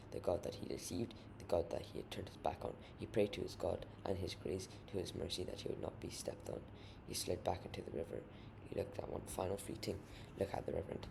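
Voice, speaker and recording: Deep gravelly man narrating a grim story. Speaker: author reading own short story. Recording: noisy amateur home recording.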